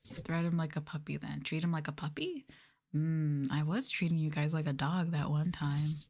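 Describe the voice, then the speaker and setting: A woman doing a live ASMR stream, talking softly and breathily to viewers in a gentle, subdued way, often dropping into a whisper close to the mic. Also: low-pitched, level tone, moderate pace, a little hiss or hum. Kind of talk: ASMR soft talking, casual chat with viewers. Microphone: close ASMR microphone.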